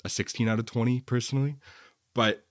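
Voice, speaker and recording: A man's voice: medium pitch, steady tone, fairly quick, dry recording, clean studio sound. A man co-hosting a podcast, casually talking through a film review in a conversational, opinionated way. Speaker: podcast co-host.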